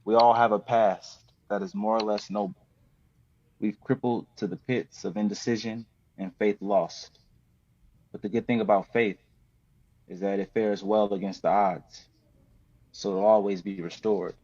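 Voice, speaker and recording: A man's voice: lowish voice, steady tone, unhurried, a little mellow. An American man of thirty from the West Coast, a poet speaking as a podcast guest. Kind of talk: poetry reading and casual chat. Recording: dull lo-fi roomy sound.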